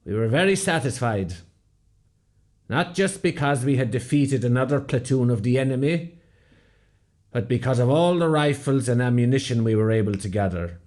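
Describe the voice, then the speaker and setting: An actor playing a proud rebel veteran, giving measured, scripted storytelling narration in a radio play. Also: male voice, mid-range voice, a little mellow, natural voice. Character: proud Irish rebel veteran recounting a battle.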